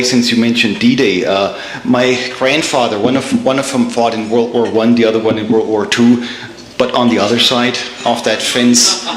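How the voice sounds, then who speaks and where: A man's voice: medium pitch, a bit bright. German-accented man lecturing in an echoey room. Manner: measured, frequent uh and um fillers, repeats words. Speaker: guest speaker, theologian. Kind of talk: public lecture. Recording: echoey room, faint ambience.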